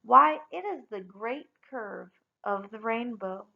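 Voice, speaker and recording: A woman's voice: fairly high pitch, animated, slow pace, gravelly, dull audio, full character voice. A woman voicing a brave, poor young outcast girl in a storybook, earnest and assured. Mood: earnest, sure.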